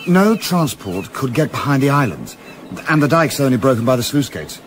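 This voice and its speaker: An actor playing a blunt, earnest young English sailor with an English accent in a radio play. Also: male voice, mid-range voice, big pitch swings, normal speed, a little hiss or hum, close to an everyday voice. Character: Davis, earnest, plain-spoken young English yachtsman.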